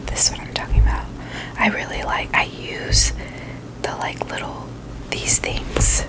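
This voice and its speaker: A woman's voice: unhurried, rough recording. A woman giving a casual, chatty ASMR product review in a whisper, informal and opinionated as she rambles along. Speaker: ASMR video creator.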